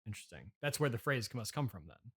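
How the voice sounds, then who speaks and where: Male comedy interviewer, medium pitch, conversational and skeptical, halting delivery, straight-man podcast style. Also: sing-song, a bit bright, slightly rough. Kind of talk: improvised deadpan comedy interview.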